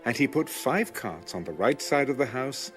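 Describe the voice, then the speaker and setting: A man reading scripture as scripted audiobook narration, measured and clearly enunciated. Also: medium pitch, big pitch swings, faint music behind. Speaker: Bible narrator.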